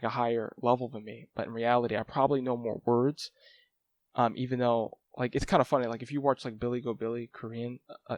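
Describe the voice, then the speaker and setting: A man talking casually to camera about his language study, rambling and filling his talk with "like" and "um". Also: mid-range voice. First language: English. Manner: rambling, lots of "like" and "um" fillers. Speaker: language-learning vlogger. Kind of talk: casual talking-head update.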